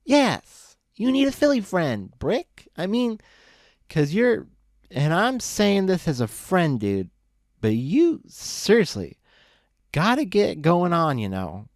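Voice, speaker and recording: A man's voice: high voice, wildly swooping pitch, slow pace, no echo, studio quality, pitched above the performer's own voice, exaggerated voice. A man voicing a drunk, sentimental young male pony who slurs and wheezes as he talks. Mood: drunk rambling. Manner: slurred, giggly, wheezing.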